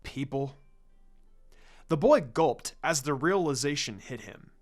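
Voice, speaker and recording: A man reading fan fiction aloud as an expressive narrator, following a script. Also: a little high, moderate pace, faint music behind.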